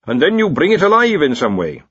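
A scholarly old-college wizard , put on by a man for a storybook. He muses and informs at some length, higher than the reader's own pitch. The sound is a little dull. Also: wildly swooping pitch, a little fast, a bit bright, husky, no echo, heavily acted. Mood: musing. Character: musing, scholarly senior male wizard. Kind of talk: audiobook character voice.

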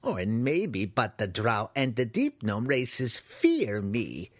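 A man's voice: mid-range voice, wildly swooping pitch, takes it slowly, dry recording, exaggerated voice. A man voicing a cranky, paranoid old human wizard in a fantasy audiobook, shrieking and muttering in quaint broken grammar. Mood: self-important musing.

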